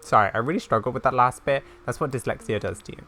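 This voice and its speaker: Male gaming YouTuber, mid-pitched with noticeable vocal fry, commenting casually as he plays. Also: fast talker, sharp tone, faint music behind. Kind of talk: casual gameplay commentary while reading game dialogue aloud.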